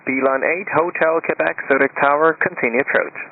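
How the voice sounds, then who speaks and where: A man with a fairly high voice rattles off takeoff and landing clearances at speed as a tower controller. He sticks to clipped, routine radio phrasing. His voice comes through a tinny radio channel with a faint hiss. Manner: rapid, routine clearances read off in set phrases. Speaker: tower air traffic controller.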